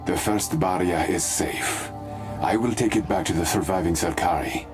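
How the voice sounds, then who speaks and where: A man's voice: low voice, normal speed, close to an everyday voice. A man playing a grave, dutiful desert mystic in a fantasy game, formal and restrained. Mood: calm, grave. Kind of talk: dramatic fantasy game acting. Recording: music under the voice.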